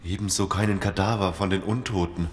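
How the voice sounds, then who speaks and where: An actor plays a wary man surviving the zombie apocalypse in a radio play. His low voice is deliberate and questioning, with a long reverb and faint noise around it. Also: fairly even intonation, natural voice. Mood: suspicious. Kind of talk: audio drama acting.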